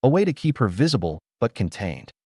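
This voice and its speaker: A man reading a scripted story aloud in a measured, emotionally present way. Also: medium pitch, sing-song, a little mellow, husky, no room sound, clean studio sound. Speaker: narrator.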